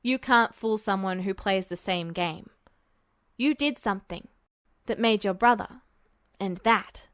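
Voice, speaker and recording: Lady doing a pensive cryptic teenage soldier boy for a story, lowered voice, clipped cold phrasing, very dry sound. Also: a little slow, fairly bright tone, clear, resonant tone, no echo, close to an everyday voice. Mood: cold, probing. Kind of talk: audiobook character dialogue.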